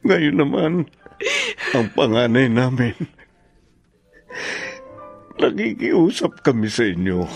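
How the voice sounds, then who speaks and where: A man's voice: mid-range voice, big pitch swings, gravelly, soft background music, full character voice. An actor with a Filipino accent playing a distraught middle-aged father in a radio drama, fervent and pleading for his children. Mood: desperate prayer. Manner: pleading, fervent, slow and emphatic.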